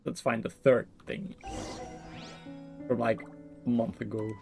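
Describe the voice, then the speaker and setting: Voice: medium pitch, level tone, slow, vocal fry, music in the background. A live streamer giving casual, brief reactions while playing a game. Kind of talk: casual gaming reaction commentary.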